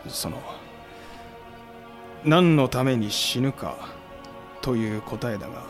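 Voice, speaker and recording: A man playing a bold samurai commander with rough, terse speech in a historical audio drama. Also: mid-range voice, animated, takes it slowly. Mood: quietly reflective. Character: bold, blunt samurai commander Hijikata, unafraid of death. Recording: dramatic music behind.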